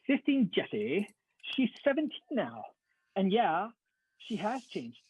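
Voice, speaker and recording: A man's voice: high voice, huge pitch swings, slow pace, a little mellow, husky. A man reading dialogue casually in an amateur group read-through, on a slightly dull microphone. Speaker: reader in an amateur comic dramatic reading.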